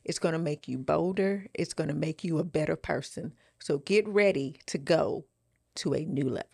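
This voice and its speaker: Low voiced lady doing a motivational podcast bit, earnest and encouraging, dry close sound. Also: normal speed, vocal fry, a little mellow, husky, dry recording. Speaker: podcast co-host. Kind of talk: motivational talk segment.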